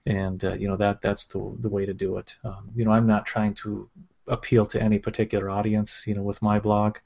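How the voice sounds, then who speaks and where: A man's voice: on the low side, brisk, vocal fry. A middle-aged man, a school administrator, talking steadily in a casual interview with many fillers. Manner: steady, filler-heavy with many "you know"s. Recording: dull lo-fi sound.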